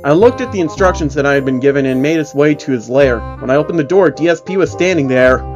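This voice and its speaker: A man's voice: fairly high pitch, quick pace, muffled recording. A man giving a mocking, amused dramatic reading of an online horror story as its narrator. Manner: amused, exaggerated, often breaking character. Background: faint music.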